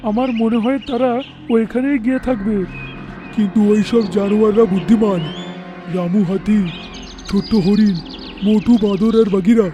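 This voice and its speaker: A man's voice: high-pitched, natural voice. A man voicing an earnest, dramatic talking forest animal in a cartoon fairy tale. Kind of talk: cartoon voice acting.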